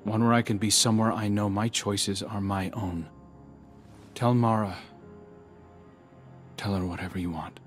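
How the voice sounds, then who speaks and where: A man playing a brooding, remorseful reborn prince in a video game, grave and breathy. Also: on the low side, steady tone, unhurried, pauses often, soft background music, close to an everyday voice. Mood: remorseful, resolved. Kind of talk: video game cutscene acting.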